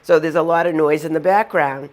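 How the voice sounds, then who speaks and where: A woman with a Boston accent tells her stories with a chatty, amused energy. Her voice sits a little low for a woman, and she talks straight to the camera. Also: steady tone, a little fast, darkish tone. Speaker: vlogger. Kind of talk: casual storytelling to camera.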